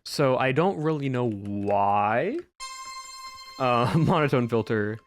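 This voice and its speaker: Man, streamer, flat monotone delivery, rambling chat, home streaming mic. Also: mid-range voice, slow, no room sound, soft background music. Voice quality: somewhat monotone. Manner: rambling, restarts often. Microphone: home streaming microphone with a filter.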